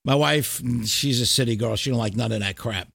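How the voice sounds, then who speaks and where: A middle-aged man hosting a casual chat, talking in an animated, slangy, sweary way. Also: mid-range voice, fairly quick, gravelly. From New York and New Jersey, United States. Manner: animated, profane, slangy storytelling. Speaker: podcast host.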